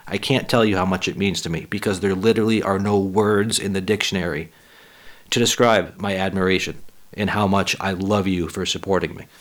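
A man with a low voice, a little hoarse and talking casually into his own podcast. He rambles, swears freely and pokes fun at himself. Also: a bit bright. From Boston area. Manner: profane, rambling, self-deprecating. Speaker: podcast host and stand-up comic. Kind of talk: casual solo podcast monologue.

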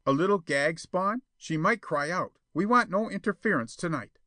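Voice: medium pitch, lively intonation, normal speed, sharp tone, no echo, pitched above the performer's own voice. A man voicing a crafty, accusing male villain in a storybook, sly, needling and insistent. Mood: sly, scheming.

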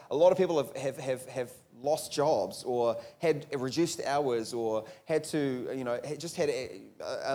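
Chatty New pastor preaching. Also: male voice, on the high side, darkish tone. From New Zealand. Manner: conversational, many restarts and rhetorical questions. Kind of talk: sermon. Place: church hall. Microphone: handheld or stage mic.

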